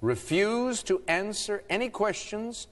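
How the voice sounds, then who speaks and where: A man's voice: high voice, a little slow, a bit bright, in a large hall. A man hosting a quiz show, reading clues and teasing contestants with playful asides. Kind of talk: game show hosting with banter.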